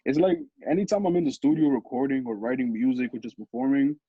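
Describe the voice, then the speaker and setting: A young man with a deep voice, a musician talking casually as an interview guest, rambling with restarts. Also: fast, husky, no echo, narrow, tinny audio. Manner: relaxed, rambling with restarts and laughs.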